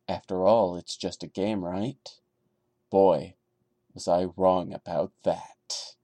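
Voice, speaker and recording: A man's voice: deep voice, fairly even intonation, slow, dark tone, no room sound. A man hosting a podcast, dramatically reading a horror story and breaking in with wry, joking commentary. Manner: wry, jokey, chatty asides. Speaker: podcast host.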